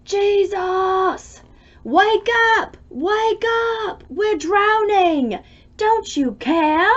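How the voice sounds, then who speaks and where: Storybook disciple in terror, voiced by a woman: high, loud, frantic cries on a sinking boat. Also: expressive, very slow, dull audio, exaggerated voice. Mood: frightened pleading. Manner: frantic, shrill exclaiming. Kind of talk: children's storybook read-aloud.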